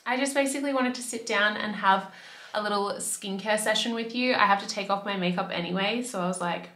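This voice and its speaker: Chatty young woman, slightly raspy. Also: medium pitch, a little fast, a bit bright, echoey room. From Australia. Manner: chatty, upbeat, fillers like "like". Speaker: beauty vlogger. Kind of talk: casual talk to camera. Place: bedroom by a window.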